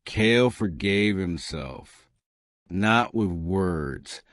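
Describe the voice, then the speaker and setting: A man narrating a scripted dramatic story in a solemn, deliberate manner. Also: sits low, lively intonation, no room sound, clean studio sound.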